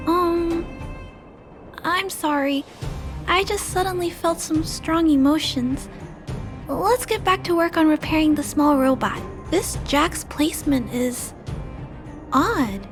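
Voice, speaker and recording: A woman voicing a gentle, earnest little girl in a video game, speaking softly, politely and a little hesitantly. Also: sits high. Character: gentle, earnest little girl who cares about robots. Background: game background music.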